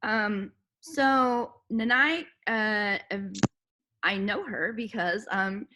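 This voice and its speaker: Bright-voiced woman hosting an interview. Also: mid-range voice, extremely slow, slight background noise. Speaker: interview host; movement practitioner. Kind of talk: conversational interview with a guest.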